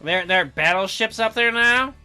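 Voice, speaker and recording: A woman's voice: on the high side, normal speed, bright, forceful tone, clear, resonant tone, faint music behind. A woman voicing a sceptical, reluctant fifteen-year-old boy in a visual novel game, dry and sarcastic. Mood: sarcastic, casual.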